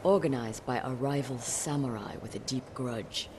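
A woman's voice: very deep voice, normal speed, noisy background. An actress voicing an older samurai noblewoman in a video game, grim, bitter and commanding. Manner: stern, clipped, commanding. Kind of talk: dramatic game dialogue.

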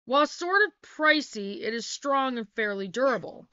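A middle-aged woman reads her how-to notes in a bright, forceful, fairly high voice, practical and plain, now and then correcting herself mid-phrase. She sits at a laptop webcam in a small wood-paneled room. The sound is dry and dull, lo-fi. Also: animated, normal speed, smooth, dry recording. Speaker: homesteading vlogger. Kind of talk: reading a how-to narration.

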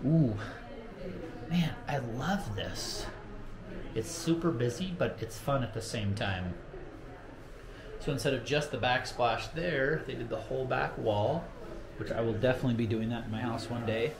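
A man's voice: medium pitch, unhurried, long pauses, a crowd in the background. An American man in his thirties giving an informal, enthusiastic walk-through tour in casual, slangy language. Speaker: real estate vlogger giving a home tour. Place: walking through a model home and its yard. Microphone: handheld camera at arm's length. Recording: noisy handheld camera with ambient sound.

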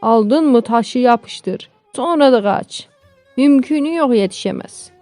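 A woman's voice: fairly high pitch, lively intonation, slow, dark tone, no echo, faint music behind, voice pushed up. A woman voicing a brash, teasing peasant father in a storybook, blunt and rustic, calling out bossily. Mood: teasing, bossy.

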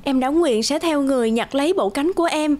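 A woman's voice: high-pitched, brisk, darkish tone, lightly acted. A woman voicing a young sea princess in a cartoon, pleading and devoted.

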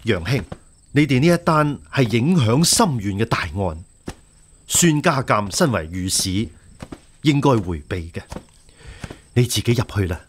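An actor with a Hong Kong Cantonese accent playing a shrewd, steady imperial censor who reasons persuasively in a radio drama. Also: male voice, medium pitch, a little slow, pauses often, a little hiss or hum. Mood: reassuring, persuasive.

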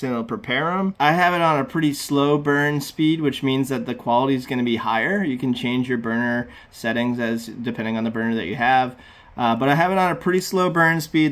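A man in his thirties hosting a casual step-by-step computer tutorial, talking through each click in a relaxed, plain way. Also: mid-range voice. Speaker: tutorial host.

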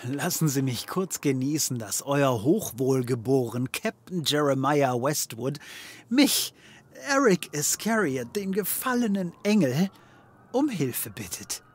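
An actor playing a cynical ex-cop private detective in a comedy audio drama, mocking and theatrical. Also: male voice, medium pitch, sing-song, measured pace, husky. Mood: gloating mockery.

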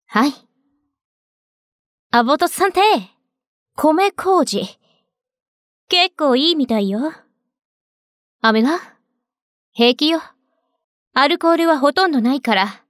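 A woman voicing a relaxed, inviting grown-up warship lady in Japanese anime game lines, composed and coaxing. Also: sits high, dry recording, clean studio sound.

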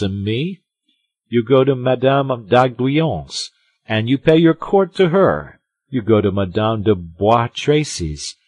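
A man's voice: lowish voice, darkish tone, husky, no room sound, voice pushed up. A man voicing a boastful, blustering musketeer in a storybook reading, with pompous, emphatic, theatrical delivery. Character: boastful, loud, self-satisfied male musketeer, blustering and theatrical. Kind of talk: audiobook character acting.

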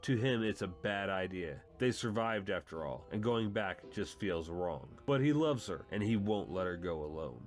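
Man, lowish voice, dramatic comic book narration, host, music behind. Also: lively intonation, normal speed, hardly any pauses.

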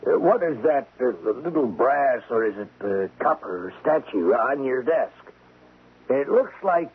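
An actor playing a polite, plodding homicide sergeant who questions haltingly in a radio drama. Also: male voice, medium pitch, very animated, husky, heavily acted. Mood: suspicious. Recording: tinny, noisy old radio recording.

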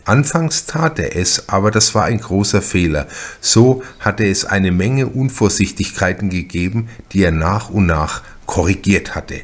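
A man reading a crime novel aloud as a narrator, in a measured and deliberate storytelling manner. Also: deep voice, animated. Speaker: audiobook narrator.

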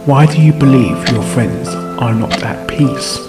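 A man voicing a calm, patient male pony doctor who asks measured questions in a fan audio drama reading. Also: medium pitch, lightly acted. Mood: calm, probing. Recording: echoey reading over loud music.

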